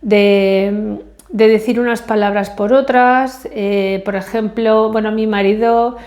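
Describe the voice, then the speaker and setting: A woman's voice: mid-range voice, fairly even intonation, takes it slowly, a little mellow. A middle-aged woman, English her first language, talking to the camera in a casual personal monologue, hesitant and full of fillers and self-corrections. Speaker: vlogger.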